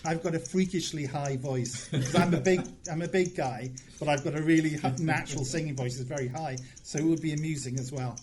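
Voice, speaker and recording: A man's voice: a little high, a little fast, hardly any pauses, a crowd in the background. A man chatting casually as a podcast guest, with a playful, dry sense of humour. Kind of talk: casual interview chat.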